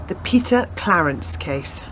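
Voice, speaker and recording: A woman's voice: lowish voice, lively intonation, measured pace, fairly bright tone, a little hiss or hum, low-quality recording. An actress playing a serious, enquiring woman in a radio play. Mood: probing.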